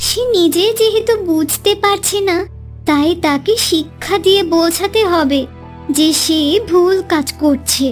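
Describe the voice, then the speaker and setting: The kindly little hen bird in a children's cartoon, piping very high and earnest from her nest over soft music, acted by a woman. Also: measured pace. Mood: fed up. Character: small kind, caring female bird, dutiful wife. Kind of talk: scripted cartoon dialogue.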